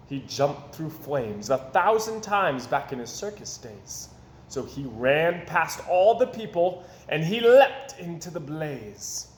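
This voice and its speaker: A man reading a rhyming children's picture book aloud in a theatrical, expressive way. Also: a little high. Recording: roomy echo, faint background noise.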